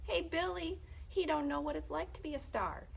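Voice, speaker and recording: A woman's voice: high voice, normal speed, gravelly, slight background noise, voice pushed up. A woman voicing a crude, taunting rural Southern schoolboy bully in a storybook reading, jeering and mocking.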